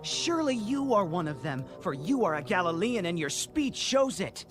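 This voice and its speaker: Insistent bystander, suspicious, accusing, lively intonation, audio Bible drama, background music. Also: male voice, high voice, moderate pace. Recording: music bed behind the voice.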